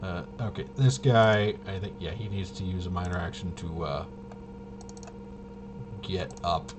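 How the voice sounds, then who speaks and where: Slow-talking man over clear background noise. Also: low voice, fairly even intonation. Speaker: player running a tabletop game demo. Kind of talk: casual thinking-aloud gameplay commentary.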